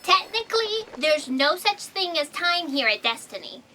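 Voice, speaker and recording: Woman doing a scatterbrained literal office girl, super high n swoopy, rambling, comedy audio drama. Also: very animated, moderate pace, background noise. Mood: flustered. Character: flustered, clumsy, literal-minded female fact checker.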